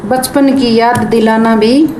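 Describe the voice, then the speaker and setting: Woman teacher, unhurried, keeps rephrasing and glossing words, echoey room with background noise. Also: on the high side, fairly even intonation. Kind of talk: explaining a poem line by line.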